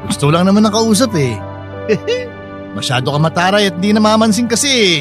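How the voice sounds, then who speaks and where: A man's voice: high voice, big pitch swings, normal speed. A Filipino man acting a menacing, leering street thug in a radio drama. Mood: menacing, taunting. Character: menacing, leering male street thug who harasses women. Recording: loud music behind the voice.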